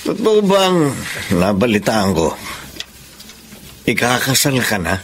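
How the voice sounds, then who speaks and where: A man's voice: mid-range voice, very animated, slow pace, a little hiss or hum. A Filipino man playing a possessive, passionate married lover in a radio drama, intense and insistent. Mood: possessive, pleading. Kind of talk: Tagalog radio drama acting. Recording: radio drama with music bed.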